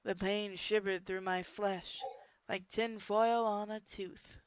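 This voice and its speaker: A woman giving an amateur dramatic story narration, reading deliberately and expressively. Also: medium pitch, steady tone, clear, resonant tone, dry recording. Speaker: narrator of online group readings.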